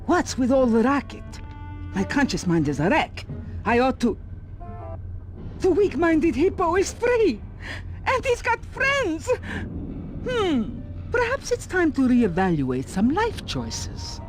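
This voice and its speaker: A haughty cartoon game villain with a high voice that swoops wildly. The delivery is theatrical, irritable and indignant, drawn out slowly. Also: female voice, wildly swooping pitch, full character voice. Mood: alarmed, then wary. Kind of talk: cartoon video game voice acting. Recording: faint music behind the voice.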